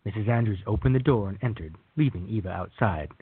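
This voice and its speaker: A man reading scripted narration for a radio drama, with a husky voice. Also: fairly low pitch, animated, fast, no echo. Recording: dull lo-fi recording.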